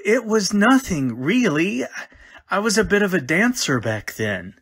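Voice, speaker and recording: A man acting a modest, flustered bereaved husband in an old-time radio drama, halting and hesitant. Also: high-pitched, sing-song, a bit bright, breathy edge, full character voice. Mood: flustered, evasive.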